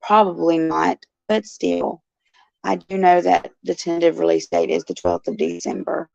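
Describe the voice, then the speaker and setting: Gravelly, raspy low-voiced woman host. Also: sing-song, moderate pace, warm tone, no echo. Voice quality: raspy, gruff. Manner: chatty and folksy, addresses viewers by name. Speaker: show host. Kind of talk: casual chat, answering viewers. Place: indoors in a care facility. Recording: dull lo-fi, dry sound.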